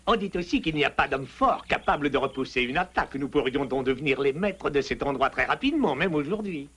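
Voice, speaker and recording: Scheming cartoon henchman: forceful, husky, bossy, officious. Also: a little high, expressive, brisk. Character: scheming, bossy henchman of a lion, threatening prison. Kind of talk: French animated children's cartoon acting.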